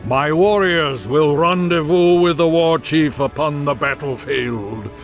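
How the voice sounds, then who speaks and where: A man's voice: fairly high pitch, slow. A man voicing a noble old tauren chieftain in a video game, solemn and dignified in manner. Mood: solemn, loyal. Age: older. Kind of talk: video game cutscene acting. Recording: music behind the voice.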